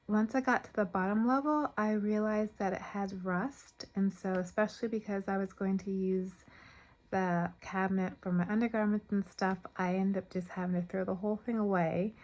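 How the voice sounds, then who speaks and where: An American woman chatting casually to camera as a craft vlogger, informal and thinking aloud as she goes. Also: mid-range voice.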